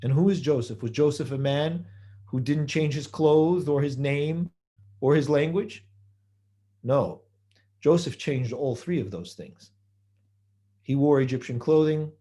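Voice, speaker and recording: A man giving a measured holiday sermon, building his points through repeated phrases and rhetorical questions. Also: mid-range voice, mellow. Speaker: rabbi giving a holiday talk.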